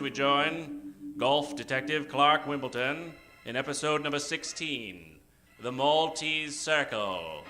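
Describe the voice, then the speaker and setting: A man plays a grandiose, ominous spirit of mystery introducing an old-time radio thriller. The voice is bright and forceful, booming out slow, melodramatic phrases over faint music.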